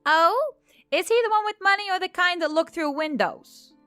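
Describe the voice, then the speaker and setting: Woman voicing a high, bubbly, cheeky elf waitress. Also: expressive, normal speed, sharp tone, soft background music, full character voice. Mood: curious, cheeky. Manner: perky, sassy, gossipy.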